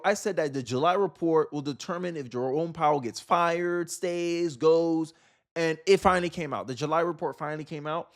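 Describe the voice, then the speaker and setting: A man giving casual, opinionated political commentary in an incredulous, rhetorical way, repeating phrases for emphasis. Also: fairly high pitch.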